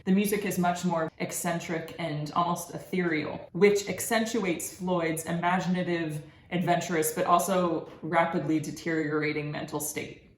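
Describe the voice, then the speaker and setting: A woman's voice: lowish voice, steady tone, normal speed, hardly any pauses. A young adult woman giving a prepared academic presentation as a student, articulate and explanatory. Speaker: student presenting thesis research. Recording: echoey room with background noise.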